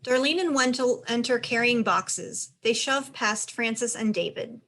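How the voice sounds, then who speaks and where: A woman's voice: fairly high pitch, unhurried, a bit bright. A woman reading stage directions as narrator, in scripted narration for a play.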